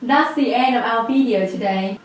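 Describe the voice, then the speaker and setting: A woman's voice: fairly high pitch, moderate pace, heavy reverb, distant mic, soft background music. A woman in her twenties with a Vietnamese accent, patiently explaining a language lesson step by step. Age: 20s. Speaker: language teacher.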